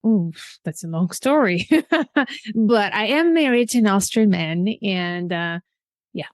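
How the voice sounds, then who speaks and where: Lively woman guest with a dry sound. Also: mid-range voice, slow, no echo. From Algeria. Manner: animated storyteller, laughs often. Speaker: podcast guest. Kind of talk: casual interview chat.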